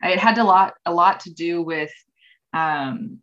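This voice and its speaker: A woman in her thirties talks as an interview guest, with a low voice. She rambles through her answers with frequent ums and false starts. The audio is dull and lo-fi. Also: unhurried, a bit bright. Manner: rambling, with many ums and restarts. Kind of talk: casual interview conversation.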